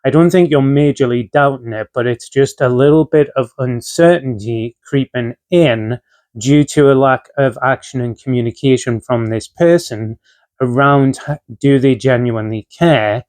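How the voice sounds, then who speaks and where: A man talking through a casual tarot reading, his voice still rough from a chest infection, relaxed and filled with ums and uhs. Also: medium pitch, normal speed. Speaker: tarot reader.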